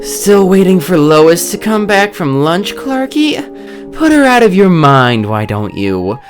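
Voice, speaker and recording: A woman's voice: on the low side, sing-song, slow pace. A woman voicing a flirtatious, coaxing female colleague in a comic-book dub. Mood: flirty. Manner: coaxing, teasing. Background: music.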